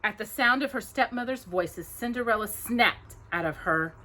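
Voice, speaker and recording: A woman's voice: fairly high pitch, expressive, moderate pace, bright, forceful tone. A woman reading a children's story aloud with dramatic, emphatic narration. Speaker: storytime reader.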